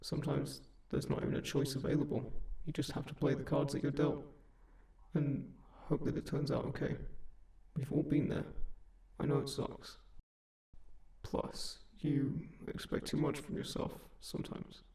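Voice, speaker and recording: A man playing a kind sleep paralysis demon in a comfort audio roleplay, speaking gently and reassuringly in an unhurried, gravelly voice. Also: medium pitch, flat delivery, lightly acted. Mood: earnest, reassuring. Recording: roomy, echoing, slightly distant voice.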